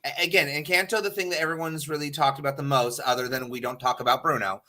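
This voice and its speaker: A man's voice: fairly high pitch, fairly even intonation, quick pace, bright, forceful tone. A man talking casually and bluntly as a panelist on a talk show, opinionated and freely swearing. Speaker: podcast panelist.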